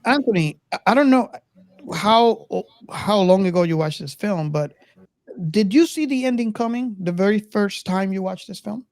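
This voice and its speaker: A woman hosting a casual film discussion, speaking haltingly and repeating words as she goes. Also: mid-range voice, a little mellow, no room sound. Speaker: podcast host.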